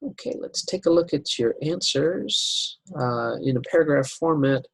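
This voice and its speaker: A man teaching an English writing lesson over slides, unhurried and step by step, with many fillers. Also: fairly high pitch, lively intonation, vocal fry, breathy edge, no echo, rough recording.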